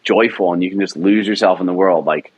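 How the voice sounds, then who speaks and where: A man's voice: low voice, brisk, highs cut off, a little hiss or hum. A young man, a writer and director, talking about his audio drama production in an enthusiastic, rambling way with many fillers. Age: young adult. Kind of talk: casual interview talk.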